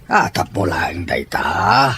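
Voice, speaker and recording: Slow, low Filipino uncle played by an actor. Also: male voice, very animated, pushed and bright, husky, heavily acted. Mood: frank, reproving. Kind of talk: radio drama acting in Ilocano.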